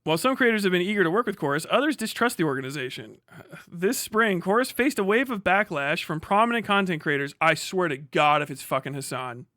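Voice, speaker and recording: High-pitched, sarcastic man reacting on stream. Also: expressive, a little fast, sharp tone, no echo, clean studio sound. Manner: sarcastic, mocking, swears freely. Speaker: livestream host reacting to an article. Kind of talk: casual reaction commentary, reading aloud and riffing.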